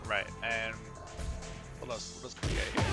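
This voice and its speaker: A man's voice: fairly low pitch, steady tone, normal speed. A man giving casual, instructive gameplay analysis, talking informally as he coaches a player through moves. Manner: instructive, counts out inputs aloud, informal. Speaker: video creator coaching a fighting game player. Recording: loud game music and sound effects behind. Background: loud fighting game music and effects.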